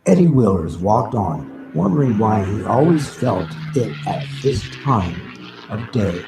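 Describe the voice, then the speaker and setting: A man reading a novel aloud live as dramatic narration, with deliberate, halting phrasing. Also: fairly low pitch, very animated, music in the background. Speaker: reader of an audiobook. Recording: noisy amateur live recording with background ambience. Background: background ambient sounds.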